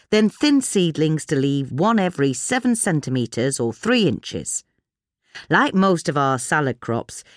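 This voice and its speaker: A woman's voice: low voice, dry recording, clean studio sound. A woman reading a scripted gardening article as narration. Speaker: article reader.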